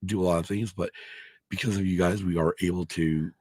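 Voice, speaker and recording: A man co-hosting a casual podcast, hoarse from allergies, who rambles, restarts and swears a lot. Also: low-pitched, steady tone, a little fast, darkish tone, dry recording. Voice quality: gravelly, husky. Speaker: podcast co-host. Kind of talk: casual chat.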